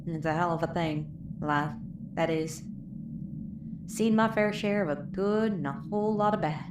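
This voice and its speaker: A woman plays a weary, folksy country survivor in a post-apocalyptic radio drama. Her voice sits low and reflective. The sound is roomy, with clear noise behind it. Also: moderate pace, lightly acted.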